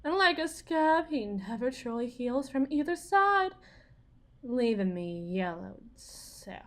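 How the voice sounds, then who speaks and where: A woman's voice: fairly high pitch, big pitch swings, slow. A woman playing a bitter, desperate young Southern US wife, confiding in a folksy, theatrical way in an audio roleplay monologue. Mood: bitter, weary.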